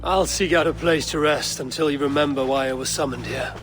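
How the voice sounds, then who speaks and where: An actor playing a confident Viking warrior in disguise in a video game, speaking tersely with dry wit. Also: male voice, on the high side, brisk, soft background music, natural voice. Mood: curt. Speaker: game protagonist. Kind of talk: video game cutscene acting.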